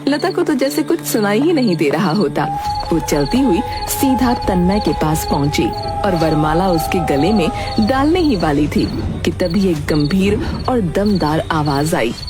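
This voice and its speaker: Female cartoon narrator, scripted storytelling, lowish voice over a music bed. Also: very animated, measured pace. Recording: music behind the voice.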